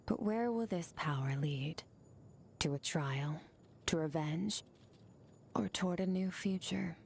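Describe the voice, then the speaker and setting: An actress playing an aloof, stoic young swordswoman in a video game, speaking in a cool, measured, detached way. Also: on the low side, vocal fry, a little hiss or hum. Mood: solemn, musing. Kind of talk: scripted video game character monologue. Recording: game audio with music underneath.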